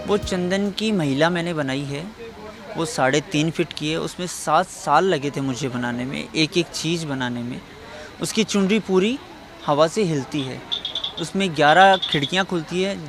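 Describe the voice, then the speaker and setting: A man from India, an artisan, answering spontaneously in an interview. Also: fairly high pitch. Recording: noisy urban location sound.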